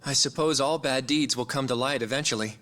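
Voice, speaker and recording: Casting: male actor as earnest, courteous young lawyer in a game drama, crisp bright tone, mid pitch. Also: a little fast, close to an everyday voice. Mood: measured. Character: polite, earnest male junior attorney. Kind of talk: video game cutscene dialogue.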